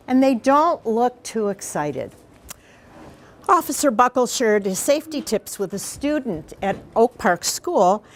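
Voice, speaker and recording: Female read-aloud storyteller for elementary kids, informal and explanatory, interactive with the listeners. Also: on the high side. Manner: explanatory, pauses to question the children. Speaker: mayor reading aloud to schoolchildren.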